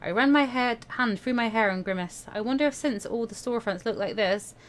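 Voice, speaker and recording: A woman hosting a let's play, reading a visual novel aloud in an expressive, playful, dramatic way. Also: fairly high pitch, a little fast.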